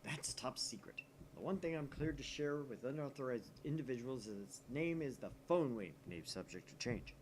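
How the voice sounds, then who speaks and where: A man's voice: medium pitch, animated, moderate pace, a little hiss or hum, natural voice. A man voicing an arrogant, bossy young male mad scientist from a visual novel, with pompous, dramatic declarations. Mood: secretive, pompous. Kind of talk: visual novel character reading.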